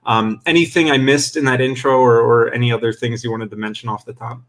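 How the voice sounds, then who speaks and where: A young man hosts a live webinar over a video call, talking at a middle pitch. He sounds eager and keen, stumbling into fillers and restarting his sentences as he goes. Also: steady tone, a little fast, a bit bright. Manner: enthusiastic, filler-heavy, restarts often. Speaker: webinar host. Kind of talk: live-streamed interview.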